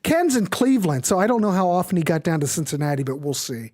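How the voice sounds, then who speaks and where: A man's voice: sits high, sing-song, fast talker, darkish tone. A man hosting a live music show in a casual, enthusiastic, rambling and informal way. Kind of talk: casual live stream commentary.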